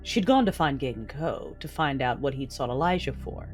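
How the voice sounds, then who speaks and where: A woman's voice: rumbling low, animated, normal speed. A menacing Sith lord woman who tells her own story in a sci-fi audio drama. She is cold, brooding and vengeful. Music plays faintly under her voice. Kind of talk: first-person audio drama narration and dialogue.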